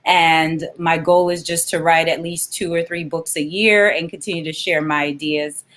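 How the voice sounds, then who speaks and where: A woman's voice: low-pitched, steady tone, normal speed, fairly bright tone. A woman speaking informally as a guest children's author and librarian, presenting a read-aloud for kids.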